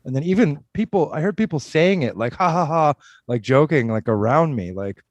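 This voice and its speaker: Halting man telling a story. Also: a little high, animated, dry recording, muffled recording. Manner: halting, many fillers and restarts while telling a story. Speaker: podcast co-host. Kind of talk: casual podcast talk.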